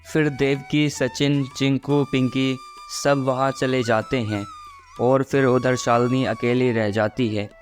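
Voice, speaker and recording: A man's voice: medium pitch, level tone, slow. A man narrating a scripted moral story. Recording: music behind the voice.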